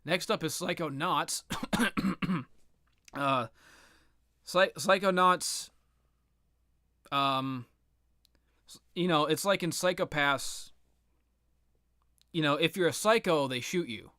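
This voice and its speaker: A man giving casual, rambling, opinionated video game commentary with sarcastic, profane ranting and a halting, repetitive delivery. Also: fairly high pitch, sharp tone, no echo, clean studio sound. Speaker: video game reviewer and host.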